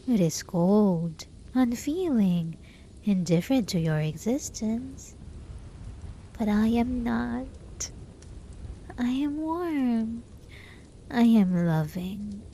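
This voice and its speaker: A woman playing a lovesick, possessive, desperate hermit spellcaster in a soft, intimate ASMR roleplay, often slipping into whispers. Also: on the high side, slight background noise. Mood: soothing, menacing. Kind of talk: ASMR roleplay, scripted dramatic monologue.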